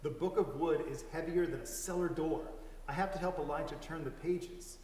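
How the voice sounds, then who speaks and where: A man's voice: a little high, moderate pace, a little mellow, far from the mic, lightly acted. Man reading as an earnest boy, echoey hall. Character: young boy narrator, earnest and observant. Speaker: reader in a dramatic story reading. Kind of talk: dramatic storybook reading. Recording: echoey hall from afar, faint hiss.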